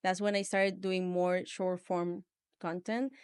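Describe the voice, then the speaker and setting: A woman from Venezuela with a Venezuelan Spanish accent, a studio founder speaking as a guest in a casual interview, relaxed and loose, with lots of "like" fillers. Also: on the low side, slow, clean tone. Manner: relaxed, many "like" fillers, plain non-native phrasing. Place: small podcast studio.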